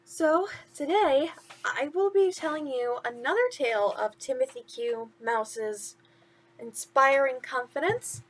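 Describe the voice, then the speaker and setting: A woman's voice: high voice, a little slow, poor audio. A woman hosting bedtime stories, speaking simply and encouragingly to young listeners. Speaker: host of a bedtime story series. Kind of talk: storytelling to children.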